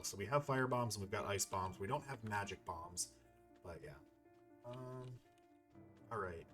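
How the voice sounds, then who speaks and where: A man hosts a video game playthrough in a middle-pitched voice. He talks casually, thinking aloud and rambling through his commentary. Faint music plays behind him.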